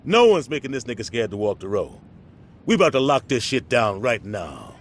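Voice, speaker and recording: A man's voice: mid-range voice, sing-song, normal speed, natural voice. An actor playing a stern, fatherly middle-aged street gang leader in a video game, speaking with authority in street slang. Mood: rallying. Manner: authoritative, speechifying.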